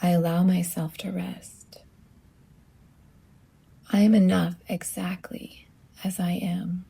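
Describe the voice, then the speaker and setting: A woman yoga teacher leads a guided meditation in a hushed, very breathy voice close to a whisper. Her pitch sits a little low and stays very even, with some soft creak. She gives gentle, soothing instructions in short phrases with long pauses.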